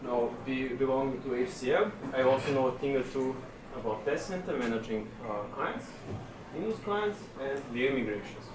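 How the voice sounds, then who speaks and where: A man from Serbia gives a technical conference presentation in a relaxed, chatty way, pausing often on fillers. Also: medium pitch. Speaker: conference speaker. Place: conference session room. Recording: echoey room, distant mic, room noise.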